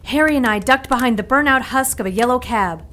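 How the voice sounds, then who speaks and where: A woman's voice: fairly high pitch, a little fast, bright, forceful tone, a little hiss or hum. An actress playing a plucky, anxious 1940s young woman who narrates her own adventure in an audio drama, earnest and dramatic. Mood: anxious narration.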